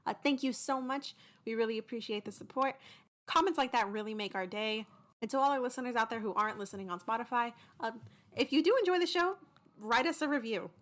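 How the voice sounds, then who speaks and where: A woman's voice: fairly high pitch, brisk, a bit bright. A young adult woman giving a chatty, informal update to listeners as a podcast host. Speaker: host and producer of an audio drama podcast.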